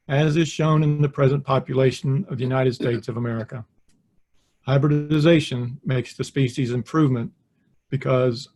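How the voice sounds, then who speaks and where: A man's voice: medium pitch, darkish tone. Man reading passages on a call. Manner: reads passages formally, comments casually with fillers. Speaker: webinar participant. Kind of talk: reading passages aloud with comments.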